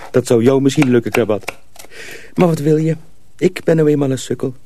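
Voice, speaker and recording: An actor playing a resigned, good-natured, simple-seeming kitchen lad in a radio drama, with a husky, gravelly voice. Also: male voice, medium pitch, moderate pace, dark tone, slight background noise, natural voice.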